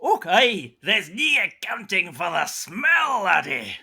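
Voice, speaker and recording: A man's voice: high voice, very animated, takes it slowly, creaky, sharp tone, breathy edge, voice pushed up, full character voice. A man acting an earnest, grandiose commander of crabs in an improvised audio roleplay. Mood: urgent. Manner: grandiose, theatrical.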